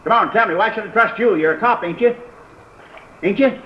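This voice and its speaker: A man acting as a distraught, self-pitying gunman with a high, agitated voice, repeating himself in rough folksy grammar. It is old radio crime drama, muffled with faint noise behind. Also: a little fast, close to an everyday voice. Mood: suspicious, desperate. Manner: agitated, repetitive, ungrammatical folksy speech. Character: distraught paranoid gunman, cornered, self-pitying, uneducated drifter.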